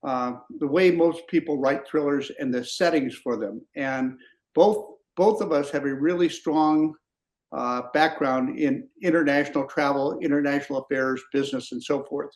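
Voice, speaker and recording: A man hosting a podcast interview, asking questions in a halting way with many fillers. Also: a little high, reverberant. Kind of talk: conversational interview.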